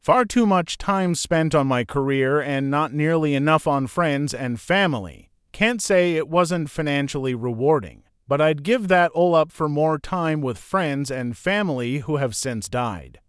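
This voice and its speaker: A man narrates a string of forum posts in a steady, even read. His voice is smooth and a little higher than most men's, and the recording is dry and close, with no room sound. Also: measured pace, hardly any pauses, dry recording. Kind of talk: scripted narration.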